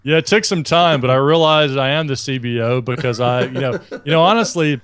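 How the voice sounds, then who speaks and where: Man, 30s, from Alabama, smooth resonant voice, dry sound, talkative guest, earbud mic on video call. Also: mid-range voice, brisk, a bit bright, dry recording. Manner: upbeat, talkative, frequent restarts. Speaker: podcast guest. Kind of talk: casual video-call interview. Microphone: earbud headset on a video call.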